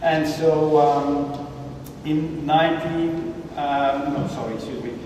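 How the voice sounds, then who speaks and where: A man in his fifties from Belgium, a photographer giving a casual gallery talk with halting restarts and self-corrections. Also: fairly high pitch, darkish tone. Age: 50s. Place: gallery hall. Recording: echoey hall from far off, noisy.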